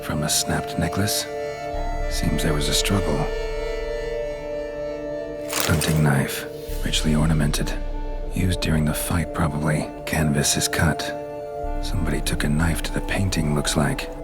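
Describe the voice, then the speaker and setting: An actor in a video game playing a gruff, stoic monster hunter who mutters tersely in clipped, deadpan fragments. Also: male voice, extremely low pitch, moderate pace. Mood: brooding deduction. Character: gruff, laconic male monster hunter for hire, stoic and watchful. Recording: music behind.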